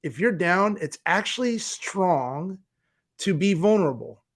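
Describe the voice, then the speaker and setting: A man's voice: sits high, expressive, a little slow. A man giving earnest, motivational interview talk as a guest, emphatic and plain-spoken. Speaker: podcast guest, speaker for a support movement.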